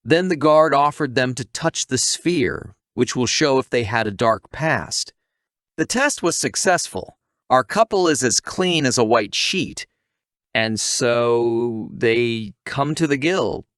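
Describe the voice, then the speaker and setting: A man's voice: mid-range voice, animated, slow, fairly bright tone, dry recording, clean studio sound. A man reading a scripted story recap as a narrator.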